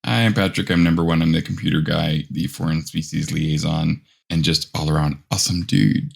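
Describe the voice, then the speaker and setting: Podcast co-host, low gravelly man's voice, teasing banter. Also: fairly even intonation, a little fast. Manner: teasing, mock-offended.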